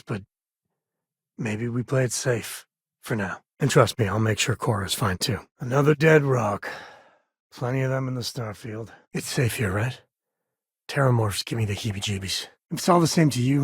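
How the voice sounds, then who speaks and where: A roguish space-faring ex-ranger and devoted dad for a video game. The voice is very husky and rough-edged, recorded close and dry. He talks casually and wryly, with a good heart under the swagger. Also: mid-range voice, no echo. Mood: relaxed, matter-of-fact. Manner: casual, wry delivery. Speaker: video game companion character. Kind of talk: video game voice acting, conversational dialogue.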